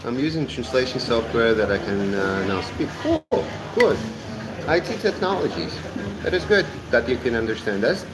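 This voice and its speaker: A man from Russia co-hosting a live stream, speaking in short, halting phrases. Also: medium pitch, sing-song, music in the background. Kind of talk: casual chat. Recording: noisy live stream with background hum.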